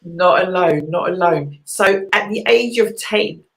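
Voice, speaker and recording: A woman's voice: on the low side, measured pace, a little hiss or hum. A woman hosting a casual chat show, chatty and prone to repeating her words. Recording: echoey room.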